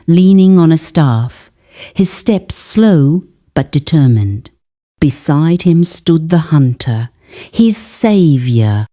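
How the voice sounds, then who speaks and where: A woman narrating a scripted folktale in a measured, dramatic storytelling manner. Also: fairly low pitch, dark tone.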